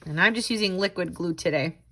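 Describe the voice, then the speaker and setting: A woman's voice: lowish voice, brisk, noisy background. A woman tutor reading to children in a sing-song storytelling style, with chatty asides. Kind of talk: expressive read-aloud and craft lesson for children.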